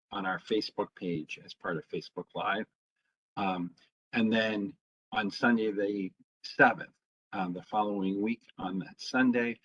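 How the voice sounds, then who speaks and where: A man, a town historian, giving an informal public history talk, pausing often with ums and uhs. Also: low voice, fairly bright tone, husky. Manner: halting, fills pauses with frequent ums. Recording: dull lo-fi sound.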